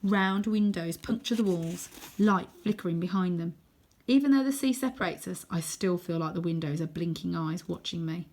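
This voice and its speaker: A woman's voice: lowish voice, normal speed, a little mellow. Woman reading a children's story expressively. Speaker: story reader.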